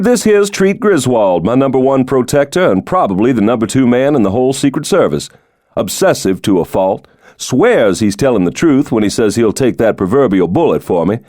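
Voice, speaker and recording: A man acting a hearty, folksy President, pitched up. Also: a little mellow, no echo, clean studio sound, close to an everyday voice. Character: breezy, folksy, commanding male American President in middle age. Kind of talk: audiobook character dialogue.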